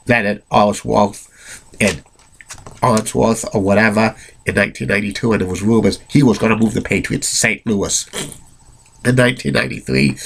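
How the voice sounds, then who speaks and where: Low, gravelly man with a cold. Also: fairly bright tone. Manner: rambling, with many restarts and fillers; bluntly opinionated. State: has a cold. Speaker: amateur sports history narrator. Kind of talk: unscripted solo narration.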